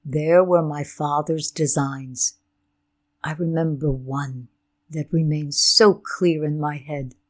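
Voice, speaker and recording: An older woman whose first language is Ukrainian, telling her family's story in a slow, deliberate, reflective way. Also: low voice. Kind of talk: personal storytelling talk.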